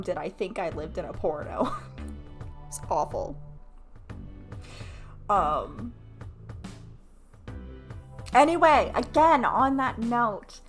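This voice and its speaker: A woman in her late twenties telling stories casually to livestream viewers, candid and self-mocking, with crude humor and free swearing. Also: on the low side. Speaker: game streamer.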